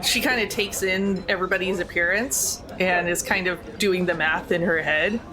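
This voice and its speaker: A woman's voice: lowish voice, moderate pace, a crowd in the background, rough recording. A woman playing a tabletop role-playing game, describing her character in an informal, dry way. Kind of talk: casual descriptive role-play chat.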